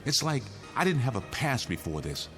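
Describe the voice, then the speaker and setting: A man's voice: medium pitch, very animated, moderate pace, a bit bright, soft background music. An actor playing a weary, insistent condemned man pleading his case in a radio drama. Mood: agitated, arguing. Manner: insistent, pleading, arguing in rhetorical questions. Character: condemned male prisoner insisting the world is his nightmare, weary, urgent.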